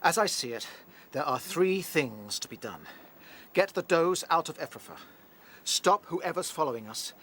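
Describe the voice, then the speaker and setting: A man's voice: fairly high pitch, animated, moderate pace, sharp tone. A man playing a cautious, responsible rabbit leader in a radio drama, well-spoken and deliberating, with a British accent. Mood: worried.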